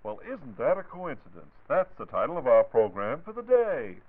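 A man's voice: medium pitch, wildly swooping pitch, normal speed. An American man hosting a scripted 1940s radio show in a droll, theatrical way with ornate wording. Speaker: radio host. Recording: tinny, phone-like 1940s radio recording.

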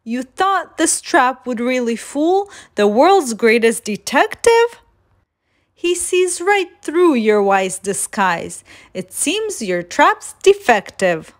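Woman voicing a cheeky, animated little gingerbread man. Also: high voice, slow, heavily acted. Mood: teasing. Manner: playful, taunting, sing-song rhyming. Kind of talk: children's storybook read-aloud.

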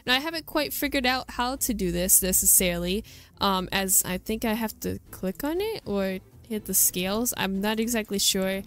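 A woman's voice: mid-range voice, expressive, moderate pace, fairly bright tone. A woman hosting a gaming video, giving casual, chatty commentary as she shows things off. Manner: chatty and informal. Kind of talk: casual game showcase commentary.

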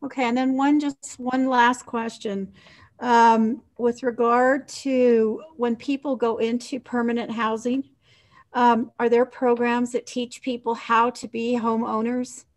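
A woman's voice: a little high, level tone, measured pace. A woman in her fifties chairing a formal council meeting on a video call and putting questions.

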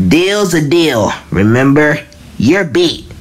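Woman slowly reading a comic aloud. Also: low voice, fairly bright tone, slightly rough. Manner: slow, halting reading with restarts. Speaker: fan comic dub reader.

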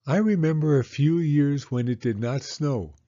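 A man voicing a patient, gentle mother mouse in a children's storybook, explaining things simply and reassuringly. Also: fairly high pitch, normal speed, muffled recording, pitched above the performer's own voice, lightly acted.

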